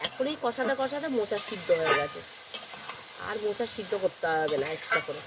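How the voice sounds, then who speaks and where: A woman with a mid-range voice narrates home cooking at a brisk clip. She explains each step plainly, repeating her phrases as she instructs. Loud kitchen noise of frying and stirring runs heavily through the recording. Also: medium pitch, sing-song. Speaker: village home-cooking video host.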